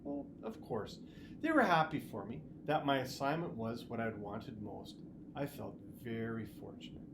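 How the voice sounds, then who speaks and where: A storybook reader playing a kindly, reassuring father who chats gently about his own youth. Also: mid-range voice, lively intonation, normal speed, background noise, natural voice. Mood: pleased, modest.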